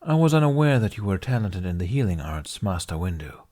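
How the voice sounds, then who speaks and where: Man playing guarded male warrior master, audiobook character, dry, formal, glibly polite, lowish voice. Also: very animated, a little fast, warm tone.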